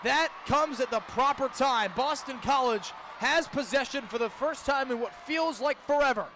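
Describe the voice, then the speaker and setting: Young American male radio play-by-play announcer, very high pitch, dramatic delivery, faint venue noise behind. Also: moderate pace. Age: young adult. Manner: emphatic, dramatic calls that swell into shouting. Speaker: student radio play-by-play broadcaster. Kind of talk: live lacrosse radio commentary. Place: stadium, calling games in person.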